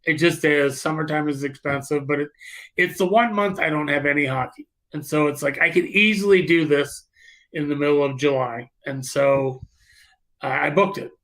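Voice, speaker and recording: A man with a bright, forward voice pitched a bit high talks casually as a live show co-host. He rambles, restarting and doubling words as he explains things. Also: rough recording. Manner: rambling, halting with repeated words. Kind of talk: casual chat on a live stream.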